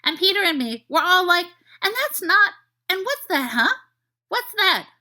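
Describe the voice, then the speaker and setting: Lady putting on a giddy puppy-brained boy, squeaky and bouncing all over, can't stop yapping. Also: big pitch swings, measured pace, bright, forceful tone, exaggerated voice. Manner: breathless, gushing, yippy. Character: excitable boy turned half dog, overjoyed.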